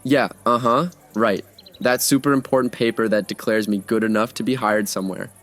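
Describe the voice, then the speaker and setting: A man in his twenties playing an easygoing young art student who talks in a casual, joking way, over soft music. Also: fairly low pitch, steady tone, a little fast. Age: 20s. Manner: casual, joking and laid-back. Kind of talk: audio drama acting.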